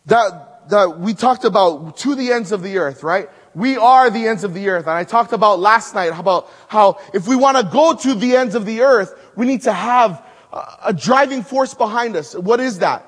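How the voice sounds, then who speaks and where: A man from Hawaii preaching at a conference, building to loud emphatic peaks and dropping to hushed asides. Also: animated, normal speed, in a large hall. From Hawaii (Maui). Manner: emphatic, swings between loud rising build-ups and hushed low asides. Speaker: guest preacher. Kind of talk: conference sermon with storytelling.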